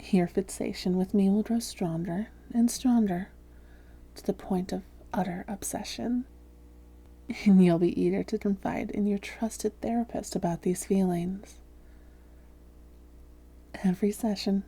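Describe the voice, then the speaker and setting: A woman playing a seductive, domineering vampire posing as a therapist in an intimate roleplay audio, speaking softly and deliberately with frequent whispering. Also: medium pitch. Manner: soft, breathy, often whispering, deliberate. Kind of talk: intimate roleplay audio drama, hypnotic delivery.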